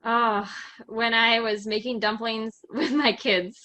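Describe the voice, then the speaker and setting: Chatty woman with bright voice. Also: a little high, little pitch movement, slow pace. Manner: chatty, frequent "like" fillers, laughs easily. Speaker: interview guest, software company employee. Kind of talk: casual conversation.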